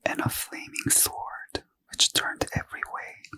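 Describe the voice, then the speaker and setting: A man softly whispering a Bible reading in ASMR style, close to the mic. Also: no echo. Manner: slow soft whisper, unvoiced throughout. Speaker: reader.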